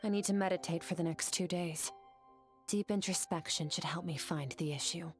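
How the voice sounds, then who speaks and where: Stoic game swordswoman, voiced by an actress: low, terse, cool and aloof, faint music behind. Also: moderate pace, close to an everyday voice. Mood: cool, stern. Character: stoic young female warrior, aloof and self-critical. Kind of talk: video game voice acting.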